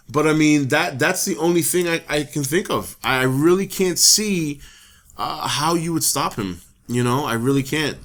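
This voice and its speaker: A man with a hoarse voice hosting his own talk show, thinking aloud in a casual, rambling way. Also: mid-range voice, a bit bright. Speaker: host. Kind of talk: casual solo talk to camera.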